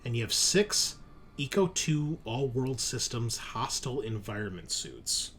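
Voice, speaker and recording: A man improvising scene narration as game master of a tabletop roleplaying game, with halting, hesitant pauses. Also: medium pitch, big pitch swings, a bit bright. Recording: noticeable background noise.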